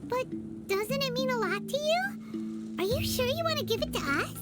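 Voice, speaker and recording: A woman's voice: expressive, moderate pace. A woman voicing a small, friendly companion character in a video game, with a childlike, chirpy and frank delivery. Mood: surprised, curious. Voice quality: high, childlike. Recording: music playing behind the voice.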